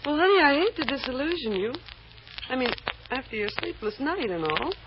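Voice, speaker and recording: A woman's voice: high-pitched, big pitch swings, brisk. An actress playing a wry, teasing, protective woman friend in an old-time American radio drama. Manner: wry, knowing, reassuring. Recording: muffled old radio recording, noisy.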